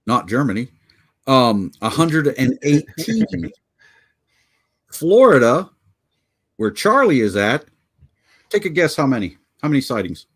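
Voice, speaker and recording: A man's voice: medium pitch, sing-song, slow pace, long pauses, slightly rough. A man from Pennsylvania co-hosting a casual podcast chat, rambling and joking as he fumbles through his notes on a video call. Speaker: podcast co-host.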